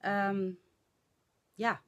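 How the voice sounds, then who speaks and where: A middle-aged woman with a Dutch accent, speaking English as a second language, chatting in a hoarse voice to camera in a rambling, halting way with many fillers. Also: mid-range voice, level tone. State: hoarse from laughing and talking. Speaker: flosstube vlogger. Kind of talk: casual chat to camera.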